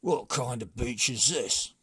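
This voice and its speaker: A man voicing a gruff, boastful and easily offended brigand chief from a storybook, speaking with indignant, emphatic bluster. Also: mid-range voice, slow, fairly bright tone, dry recording, full character voice. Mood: bossy, proud. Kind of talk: storybook character voice.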